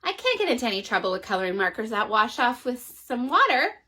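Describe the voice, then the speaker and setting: Woman reading as a little girl, whiny, insistent, bright high voice, storybook. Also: sing-song, fast, husky, heavily acted. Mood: pleading. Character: pushy young girl nagging her mother.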